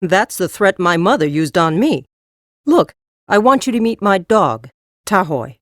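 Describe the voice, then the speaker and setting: A woman's voice: on the low side, big pitch swings, unhurried, no echo, studio quality. A woman acting a tough but kindly horse trader in a full-cast audiobook, blunt, practical and no-nonsense. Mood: friendly, brisk.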